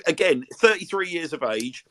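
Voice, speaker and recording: A man's voice: a little high, a bit bright, no room sound. Rambling male podcast host. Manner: rambling, repeats words, many fillers. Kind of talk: casual podcast chat.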